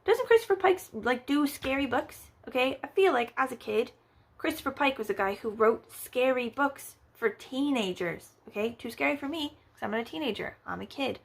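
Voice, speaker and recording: A woman's voice: sits high, animated, normal speed, vocal fry. A woman chatting casually as a book vlogger, rambling with lots of fillers and wry, playfully exaggerated asides. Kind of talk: casual book review chat.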